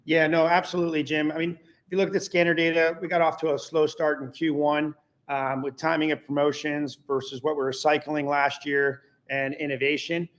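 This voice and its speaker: A man's voice: a little high, flat delivery, a little fast, fairly bright tone. A man speaking as a company executive, answering questions on an earnings call in polished corporate phrasing.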